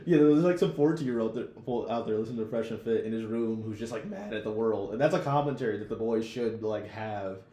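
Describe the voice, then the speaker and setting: A man's voice: fairly low pitch, fast, few pauses, mellow, reverberant. A young man co-hosting a podcast, casually discussing TV in blunt, slangy, profane language. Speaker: podcast co-host.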